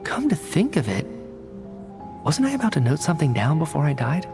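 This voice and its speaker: An actor playing an earnest, analytical researcher in a video game, articulate and inquisitive, reasoning methodically. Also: male voice, fairly high pitch, big pitch swings, a little fast. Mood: thoughtful. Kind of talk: video game dialogue acting. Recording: studio voice under clear game music. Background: background music.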